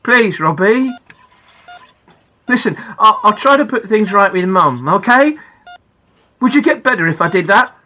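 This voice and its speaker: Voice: medium pitch, lively intonation, measured pace, long pauses, sharp tone. A reader voicing a worried father, speaking tenderly and pleadingly to his son.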